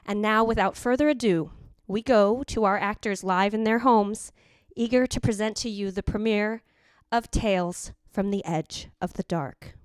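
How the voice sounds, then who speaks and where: A woman's voice: medium pitch, moderate pace, smooth, dry recording, clean studio sound. A woman giving a scripted introduction as host of an old-time radio style drama show.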